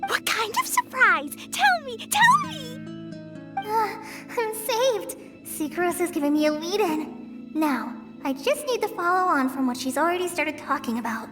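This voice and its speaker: Nervous, self-doubting young game character, very high pitch, halting delivery. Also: female voice, sing-song, loud music behind the voice, full character voice. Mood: panicky, nervous. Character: shy, anxious young woman who overthinks and doubts herself. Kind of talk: video game voice acting.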